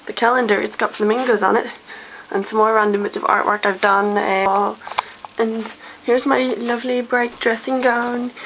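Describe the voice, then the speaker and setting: Chatty Northern Irish teen girl, gravelly. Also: a little high. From Northern Ireland. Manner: chatty, self-deprecating, many ums. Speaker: vlogger. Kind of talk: casual vlog chat. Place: small bedroom at home. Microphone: built-in webcam mic. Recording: noisy home webcam recording.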